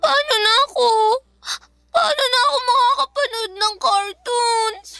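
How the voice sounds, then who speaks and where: A woman's voice: very high-pitched, measured pace, vocal fry, pushed and bright, no echo, full character voice. A woman playing an anxious, sweet young schoolgirl daughter in a radio drama, pleading in a tearful, childlike way. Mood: pleading, sad. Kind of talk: Tagalog radio drama acting. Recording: dry studio with music behind.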